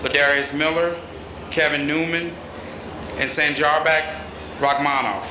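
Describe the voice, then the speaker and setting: A man's voice: fairly high pitch, steady tone, a little slow. A middle-aged man reading scripted announcements as emcee at a podium. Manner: formal, scripted delivery. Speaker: press conference emcee. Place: large arena press conference stage. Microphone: podium microphone. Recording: echoey hall with noise.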